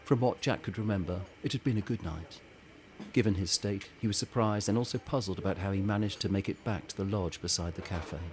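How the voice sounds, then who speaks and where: Deep-voiced male audio drama narrator. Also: a little fast, slight background noise.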